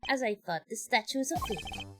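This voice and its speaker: A woman's voice: fairly high pitch, animated, brisk, over music, natural voice. A woman voicing a stern, exacting investigator in a video game, speaking formally and with cool confidence.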